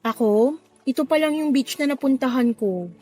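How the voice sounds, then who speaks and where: Masayahing dalaga, mataas ang boses, may musika. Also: female voice, sits high, lively intonation, normal speed, mellow. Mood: cheerful, friendly. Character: cheerful, friendly young woman from a beach province. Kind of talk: Tagalog radio drama acting. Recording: music under the voice.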